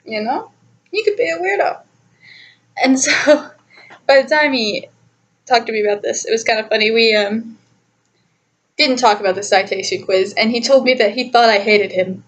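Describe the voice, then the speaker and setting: High-voiced, animated young woman telling stories. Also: normal speed, pauses often. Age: young adult. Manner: animated, giggly, self-interrupting. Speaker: storytime vlogger. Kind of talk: casual, chatty personal storytelling.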